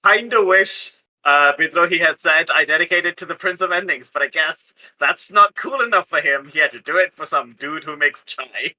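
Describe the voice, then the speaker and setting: A man playing a tabletop game, chatting casually and haltingly with asides spoken in character. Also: a little high, bright, forceful tone, low-quality recording.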